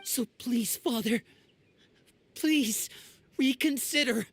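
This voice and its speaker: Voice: mid-range voice, slow pace, husky. Earnest, pleading youth. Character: earnest young man, devoted adopted son. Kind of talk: video game voice acting.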